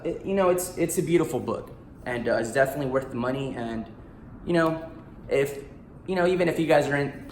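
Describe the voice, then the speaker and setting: Picture a man in his thirties who grew up speaking Spanish, chatting straight to camera in a loose, heartfelt way. His voice sits at an ordinary middle pitch. The room around him rings with a noticeable echo, and a faint hiss lies underneath. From United States. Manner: eager and heartfelt, full of "like" and "you know". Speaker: actor and author. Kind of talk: casual self-promo vlog. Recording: roomy echo in a small room.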